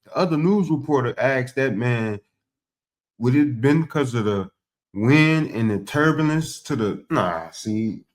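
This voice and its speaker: A man's voice: medium pitch, animated, unhurried. A man with a mid-range voice reacts casually to news coverage. He is blunt and colloquial, with an exasperated way of repeating his points. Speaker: commentator reacting to news footage.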